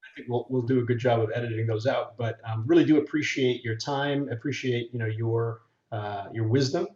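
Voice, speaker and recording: A man's voice: medium pitch, steady tone, a little fast, darkish tone, echoey room, lo-fi sound. A man co-hosting a business podcast, asking careful, thoughtful questions in casual chat. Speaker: podcast co-host.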